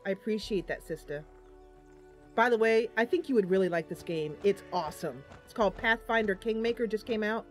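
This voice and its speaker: A woman's voice: medium pitch, fairly quick, faint music behind. A woman streaming a game live, chatting casually and affectionately with her viewers.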